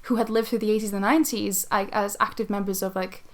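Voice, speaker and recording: A woman's voice: mid-range voice, steady tone, fast talker. A woman co-hosting a podcast in a casual, informal group chat, telling stories in a loose conversational way. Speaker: podcast co-host.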